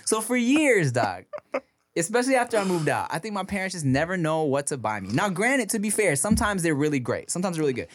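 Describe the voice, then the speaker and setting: A man's voice: high-pitched, big pitch swings, fast, dry recording, studio quality. A man co-hosting a podcast, telling stories in casual chat, swearing freely and laughing often. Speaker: podcast co-host. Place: podcast studio. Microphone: studio microphone.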